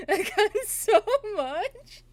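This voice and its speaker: High-voiced, rambling woman livestreamer. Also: lively intonation, extremely slow. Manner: giggly, rambling, repeats phrases. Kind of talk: casual game stream chat.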